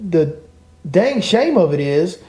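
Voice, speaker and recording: Male podcast host, warm mellow tone, slightly high, ranting emphatically. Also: big pitch swings, slow pace. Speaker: podcast host and fishing guide. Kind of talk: opinionated podcast chat.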